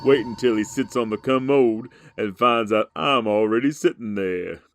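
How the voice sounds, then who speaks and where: A man's voice: on the low side, normal speed, faint music behind, lightly acted. A man playing a creepy, lewd old man who hosts a comedic horror radio show in a folksy, leering drawl. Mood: sly, lewd. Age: older.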